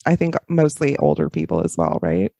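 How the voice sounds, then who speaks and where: A man in his forties with a gravelly voice, chatting and laughing often on a podcast. His pitch moves a lot, and the sound is dry and close. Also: very animated, a little fast, mellow, dry recording. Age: 40s. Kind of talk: casual chat.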